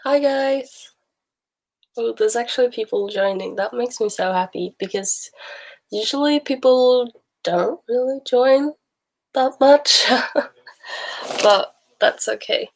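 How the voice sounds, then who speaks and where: A woman's voice: fairly high pitch, slow pace, creaky, long pauses, rough recording. A young woman from Sweden with a mixed Swedish-English accent, chatting casually with viewers on a livestream while sick, rambling and laughing often. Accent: Swedish with mixed Texan, Australian and British bits. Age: young adult. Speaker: livestream host.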